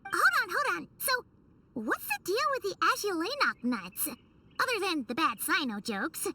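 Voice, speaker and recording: A woman voicing a small, childlike fairy companion in a video game, squeaky, curious and excitable. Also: normal speed, distant mic, a little hiss or hum, full character voice. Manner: inquisitive, exclamatory.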